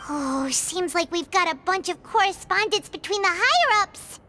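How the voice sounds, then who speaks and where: A woman voicing a tiny, excitable, childlike fairy companion in a video game, squeaky and chatty, full of exclamations. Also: normal speed, heavily acted. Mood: disgusted, curious. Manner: exclaiming, self-important, refers to herself by name. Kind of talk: animated video game acting. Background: background music.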